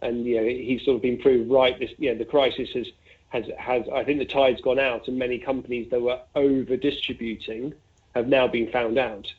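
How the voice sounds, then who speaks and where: A man heard through a narrow, phone-like connection, speaking as a fund manager giving market commentary. His pitch is middling and stays fairly flat. He talks discursively and conversationally, working through his points aloud with asides and examples. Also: normal speed. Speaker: investment fund manager being interviewed. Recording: narrow, tinny phone-like line.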